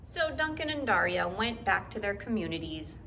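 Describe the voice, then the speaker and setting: A woman teacher reading a children's book aloud in an expressive, deliberate storyteller style for young listeners. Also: medium pitch, gravelly, slight background noise.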